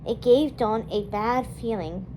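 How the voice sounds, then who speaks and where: A woman's voice: fairly high pitch, unhurried, warm tone, a little hiss or hum, low-quality recording. A woman narrating a children's novel aloud as a storyteller.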